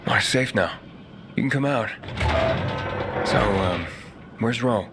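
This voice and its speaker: A man playing a calm, dry-spoken wanderer. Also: mid-range voice, slow pace, very noisy, lightly acted. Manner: calm, matter-of-fact. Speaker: messenger, player character. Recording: cutscene with faint score music.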